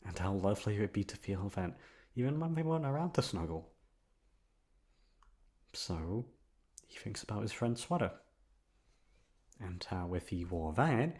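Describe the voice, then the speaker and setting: Guy doing a lovesick yandere young man for asmr, lowish voice, intimate and coaxing, repeats himself obsessively. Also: creaky. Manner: soft-spoken coaxing, drawn-out words, emphatic repetition. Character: obsessive lovesick young man confessing to his best friend. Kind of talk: intimate ASMR roleplay storytelling.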